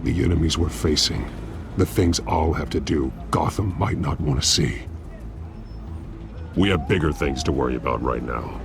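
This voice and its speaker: Grim, resolute game vigilante: very deep, terse, serious, with music underneath. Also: male voice, normal speed. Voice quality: deep, low. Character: masked vigilante hero and his civilian self, grim, resolute man. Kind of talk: video game voice acting.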